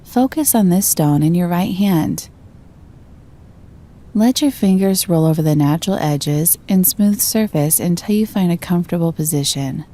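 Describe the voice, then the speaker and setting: A woman gently guiding a meditation, giving slow, soothing instructions. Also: on the low side, animated. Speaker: meditation guide. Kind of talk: guided meditation.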